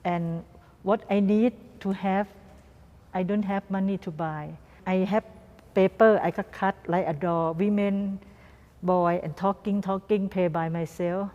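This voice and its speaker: Female guest artist, Thai accent, mellow voice, broken English in short repeated phrases, recorded with long room reverb. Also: mid-range voice, steady tone, dull audio. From Thailand. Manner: halting phrases, non-native grammar, repeats words. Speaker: guest artist and doll maker. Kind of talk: interview answers in simple English.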